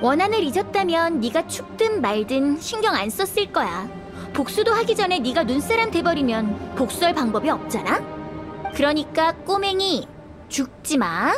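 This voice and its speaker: A woman's voice: high voice, very animated, moderate pace, over loud music. An actress playing a proud, aloof young noblewoman knight with a cool, haughty manner and hidden kindness in a video game.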